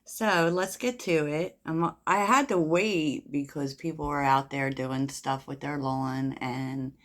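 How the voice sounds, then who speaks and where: Woman, soft voice, low-pitched, unhurried, chatty tarot reader, rambling with fillers. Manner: soft-spoken, rambling, giggly, many fillers; chatty, laughs at her own jokes. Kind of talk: casual tarot reading to camera.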